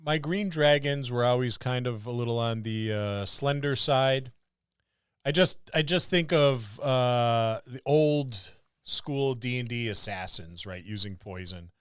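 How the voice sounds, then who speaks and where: A man co-hosting a podcast, talking casually about tabletop gaming in short, considered phrases with pauses. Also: mid-range voice, smooth, dry recording, clean studio sound. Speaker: podcast co-host.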